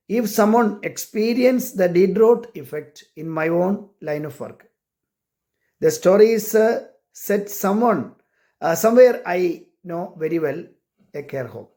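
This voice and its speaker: A man with an Indian accent, a non-native English speaker, talking earnestly and deliberately as he presents and reads his own writing. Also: high-pitched, dark tone. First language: not English. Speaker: amateur short story writer and care worker. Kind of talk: personal introduction and reading aloud of own short story.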